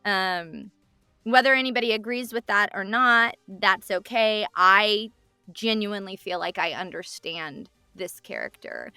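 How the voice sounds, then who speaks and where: A woman's voice: medium pitch, lively intonation, measured pace, pushed and bright, clear, resonant tone, dry recording, faint music behind. A woman voice actor speaking with enthusiasm about a character she voices, in an interview. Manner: enthusiastic, affectionate. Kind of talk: interview recollection.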